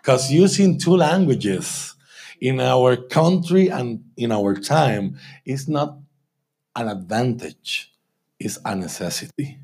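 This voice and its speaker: A male preacher giving a church sermon, slowing down to stress points and often asking "¿verdad?". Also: mid-range voice, lively intonation, a little mellow, husky. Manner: emphatic, slows and repeats for stress, asks "¿verdad?". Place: church sanctuary.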